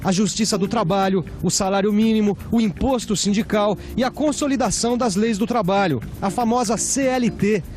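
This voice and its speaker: Brazilian guy, quite high voice, presenting an educational script with soft music under it.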